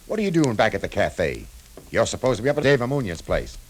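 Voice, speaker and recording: Hard-boiled detective, low pitch, very varied intonation, terse, shrewd, vintage 1950s radio play. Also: male voice, huge pitch swings, fast, slight background noise, rough recording. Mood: blunt, urgent. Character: tough, shrewd cafe owner playing detective. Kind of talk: 1950s radio drama acting. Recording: 1950s radio drama recording.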